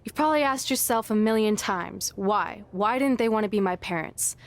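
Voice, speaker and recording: A woman's voice: medium pitch, normal speed, close to an everyday voice. A woman playing a loyal, sarcastic young woman wrestler who encourages her friend in a video game cutscene. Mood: earnest. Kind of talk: scripted game cutscene acting.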